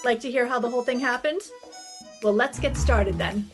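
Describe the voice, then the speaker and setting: Woman acting as sassy female detective, higher voice, sardonic wisecracks, 1950s radio drama, music behind. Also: normal speed, lightly acted. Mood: breezy, wry. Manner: wry, breezy, sardonic. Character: wisecracking, flirtatious female private eye, former model.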